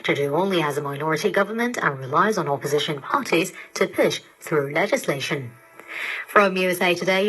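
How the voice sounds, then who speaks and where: A woman presenting scripted radio news in a formal, measured manner, heard over a digital shortwave broadcast. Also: lowish voice, fairly bright tone, breathy edge, rough recording.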